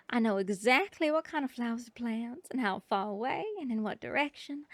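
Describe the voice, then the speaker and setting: A woman voicing a friendly young bee girl honey seller, speaking with a Southern drawl in a folksy, hospitable way and slipping into soft whispers, close to the microphone. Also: a little high, dry recording, lightly acted. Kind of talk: ASMR roleplay. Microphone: close ASMR microphone.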